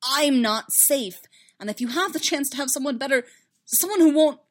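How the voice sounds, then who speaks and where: A woman voicing an anguished, self-loathing young knight in a space fantasy story, halting and desperate. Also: medium pitch, lively intonation, lightly acted. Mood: desperate, agitated.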